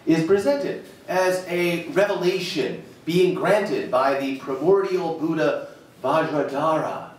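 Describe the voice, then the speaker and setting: A man giving a live dramatic reading of an essay, deliberate and emphatic, pausing between short phrases. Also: a little high, darkish tone. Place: live reading at a jazz club. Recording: echoey room, far from mic, faint noise.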